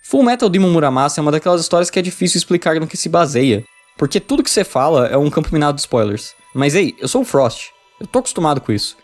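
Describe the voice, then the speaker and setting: A man with a Brazilian accent reading a scripted, informal review in a wry, jokey manner. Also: fairly high pitch. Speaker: video essay reviewer.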